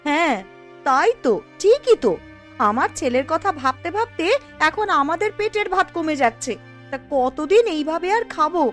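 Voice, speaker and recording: Woman as busy cartoon village wife, very high pitch, lively swooping delivery, brisk, nagging, outspoken. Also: faint music behind. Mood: exasperated. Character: outspoken young village housewife, busy and exasperated. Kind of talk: animated cartoon story dialogue.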